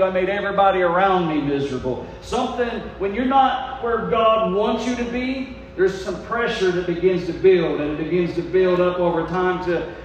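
High-voiced American preacher in an echoing hall. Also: male voice, highs cut off. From United States. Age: 40s. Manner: folksy, dramatic, acts out remembered dialogue, swings from quiet confiding to shouting. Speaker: preacher and missionary. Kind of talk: sermon testimony, storytelling to a congregation. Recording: echoey hall, distant, faint hum.

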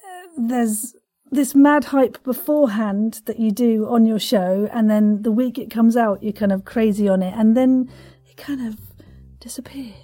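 A woman's voice: on the high side, measured pace, warm tone. A woman hosting a casual podcast interview, chatty and effusive, with playful turns of phrase.

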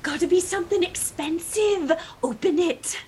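An excitable, squeaky-high little adventurer. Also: female voice, moderate pace, faint music behind. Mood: eager. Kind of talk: fantasy audio drama acting.

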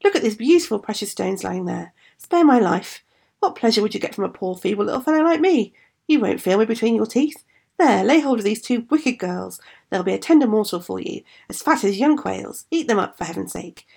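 Storybook dwarf: cranky old man, screeching and husky, put on by a female narrator. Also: expressive, a little fast, darkish tone. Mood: terrified pleading. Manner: shrill, scolding, ungrateful. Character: screeching bad-tempered old male dwarf.

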